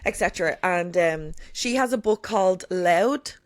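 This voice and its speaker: Emphatic woman, low and dry. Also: lively intonation, moderate pace, no echo. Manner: emphatic, swears freely, fills with "like" and "you know"; says she has a strong voice. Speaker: podcast guest. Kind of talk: candid conversational chat.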